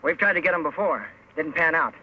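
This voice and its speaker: A man's voice: on the high side, a little fast, pushed and bright, slightly rough, exaggerated voice. An actor playing a wary outlaw henchman with a clipped, suspicious manner in an old-time western radio drama. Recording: tinny, very noisy old radio recording.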